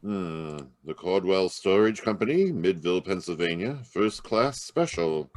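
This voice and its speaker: A man plays a firm police inspector in a radio mystery, with a widely moving, animated pitch. He is shrewd and authoritative, recorded dry and close. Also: a little slow, no room sound. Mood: focused. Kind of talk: old-time radio mystery acting. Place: live video call at home. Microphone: video call.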